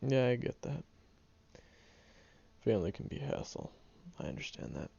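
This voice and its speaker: A man's voice: medium pitch, very animated, normal speed, gravelly, warm tone, slight background noise. A man voicing a prickly young male student who secretly cares, talking hesitantly with stammers and ums in an audio roleplay. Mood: grudgingly listening. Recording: dull lo-fi recording.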